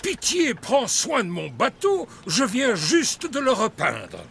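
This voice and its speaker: Old worrywart cartoon grandpa pig, high voice, slow and fussy, noisy background. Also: male voice, wildly swooping pitch, vocal fry, a bit bright, full character voice. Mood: fussy, instructing. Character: Papy Pig, fussy old grandfather pig, anxious boat owner. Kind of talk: preschool cartoon dub.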